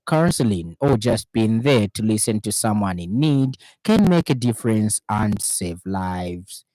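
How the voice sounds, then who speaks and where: A man's voice: fairly low pitch, animated, measured pace, mellow, no room sound. Male Zambian radio news reader, formal scripted bulletin delivery, close dry sound. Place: radio studio.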